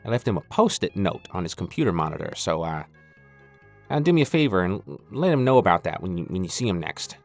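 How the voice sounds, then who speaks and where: A man's voice: low-pitched, big pitch swings, fast, darkish tone, soft background music. Man playing a swoopy-voiced, stammering, goofy intruder. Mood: sincere, awkward. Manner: stammering, rambling, irreverent. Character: cocky, goofy male intruder hijacking the show, shady slacker. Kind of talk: comedic monologue addressed to the listener.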